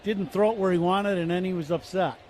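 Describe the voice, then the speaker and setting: A man's voice: sits high, fairly quick, darkish tone, a little hiss or hum. A man giving conversational, analytical color commentary on a baseball radio broadcast.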